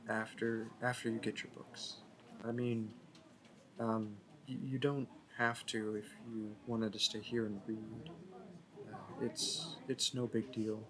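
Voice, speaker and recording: Guy playing a nervous shy library boy in an audio roleplay, slow halting talk, stammers a lot, murmuring crowd in the back. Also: on the low side, flat delivery. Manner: stammering, hesitant, self-correcting. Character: shy, smitten young male library worker, nervous and awkward. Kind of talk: intimate roleplay audio acting.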